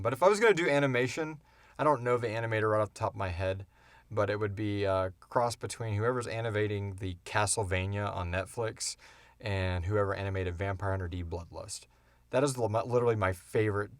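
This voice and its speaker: A man's voice: lowish voice, a little fast, no room sound. A man chatting casually as a podcast co-host, rambling along with enthusiasm as he answers questions. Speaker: creator and co-host of a fiction podcast. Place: small enclosed recording booth.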